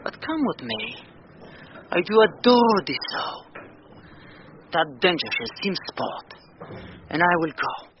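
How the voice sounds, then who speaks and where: Ardent loyal seafarer, swooping delivery. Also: male voice, high-pitched, very animated, slow pace, pauses often, full character voice. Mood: adoring. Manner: ardent, emotional. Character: devoted, ardent seafaring male rescuer, loyal and pleading. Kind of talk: classical Shakespearean radio acting. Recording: muffled radio recording with noise.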